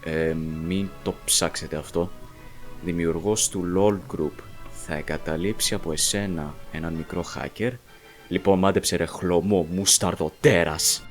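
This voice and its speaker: A man's voice: sits low, steady tone, faint music behind. A man voicing a cocky, bumbling sword-fighter hero who brags and mocks in an amateur cartoon fandub. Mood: bragging. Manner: bragging, mocking. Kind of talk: amateur animated fandub acting.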